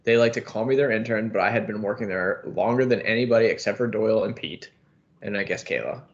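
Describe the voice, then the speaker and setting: A man's voice: fairly low pitch, fast talker. An American man in his thirties bantering casually as a podcast guest, joking and teasing as he talks. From United States. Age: 30s. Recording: slightly dull, muffled sound.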